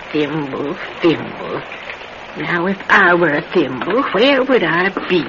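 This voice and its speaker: A woman playing a sprightly, independent old widow, chatty and folksy in an old-time radio drama. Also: fairly low pitch. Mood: fussing, searching. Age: older. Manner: folksy, chatty, muttering to herself. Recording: noisy, muffled old radio recording.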